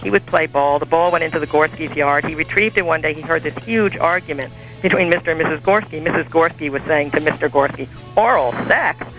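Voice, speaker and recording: A man telling an anecdote on a radio show in a measured storytelling manner. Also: fairly high pitch, a bit bright, poor audio. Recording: archival radio broadcast with music bed.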